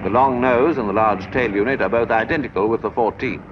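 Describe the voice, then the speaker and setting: A man reading scripted narration for a 1940s military training film in a measured, instructive way. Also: medium pitch. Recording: dull noisy 1940s film soundtrack.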